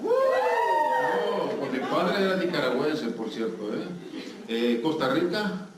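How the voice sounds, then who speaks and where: A man's voice: mid-range voice, expressive, normal speed. A man with a Salvadoran accent speaking as a guest pastor to a congregation, engaging listeners with questions in a joking manner. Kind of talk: sermon to a live congregation, interactive. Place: church hall with congregation. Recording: echoey hall, distant mic, noisy.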